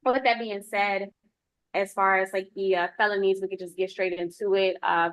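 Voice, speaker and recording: Casting: woman, police lieutenant reporting to a community meeting, lively intonation on a slightly low voice, dry sound. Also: normal speed, no room sound. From United States. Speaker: police lieutenant giving a public safety report. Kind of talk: informal spoken report at a public meeting.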